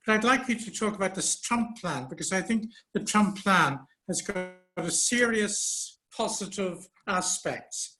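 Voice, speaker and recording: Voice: medium pitch, a bit bright. An audience member pressing a question on a video call, in an insistent way. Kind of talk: online question.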